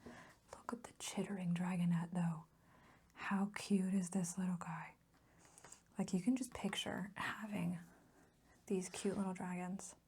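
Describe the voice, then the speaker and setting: A woman speaking in a soft, whispery voice for a casual ASMR chat, rambling along with gentle enthusiasm close to the mic. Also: fairly low pitch, flat delivery, measured pace, long pauses, slight background noise. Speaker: ASMR video host.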